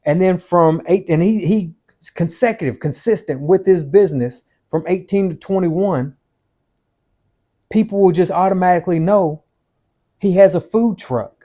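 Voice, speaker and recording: A man's voice: high-pitched, unhurried, mellow. Blunt, emphatic man in his fifties. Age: 50s. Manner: blunt, emphatic, repeats himself, calls the listener "man" and "dude". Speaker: podcast host giving life advice. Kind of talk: motivational advice talk.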